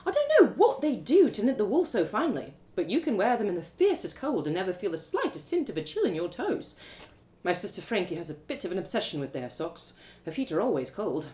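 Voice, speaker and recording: A woman reader plays a friendly, talkative townswoman from a storybook. She speaks in an animated, swooping way, chatty and emphatic, reassuring the young newcomer she guides. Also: medium pitch, echoey room. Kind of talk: storybook character voice.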